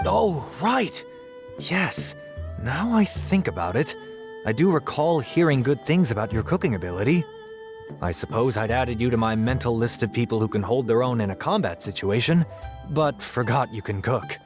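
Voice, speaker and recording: An actor playing a kindly, gently firm innkeeper in a video game, speaking in a measured, polite, reassuring way. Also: male voice, mid-range voice, very animated. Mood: genial. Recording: music playing behind the voice.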